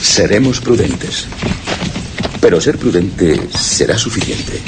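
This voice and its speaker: Through heavy background noise comes a wary, earnest male explorer with a low voice. His is formal and declamatory, in the manner of a horror radio play. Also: very animated, takes it slowly, exaggerated voice. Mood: wary, solemn. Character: earnest, wary male member of a perilous expedition. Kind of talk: horror radio drama acting. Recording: very noisy radio drama recording.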